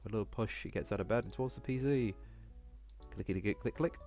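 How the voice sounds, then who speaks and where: A man with a fairly low voice narrates a visual novel as he plays it. He reads the text aloud with the odd stumble, and faint game music runs underneath. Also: fast, a little mellow. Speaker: let's player reading a game aloud.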